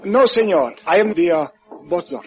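An actor playing a polite Cuban butler in a 1950s radio drama. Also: male voice, sits high, expressive, moderate pace. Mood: courteous. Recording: muffled old radio recording, music behind.

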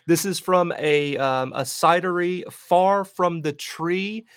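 A man's voice: high voice, slow pace, fairly bright tone, no room sound, studio quality. A man hosting a show and chatting casually with his guest in a jokey, upbeat interviewing manner.

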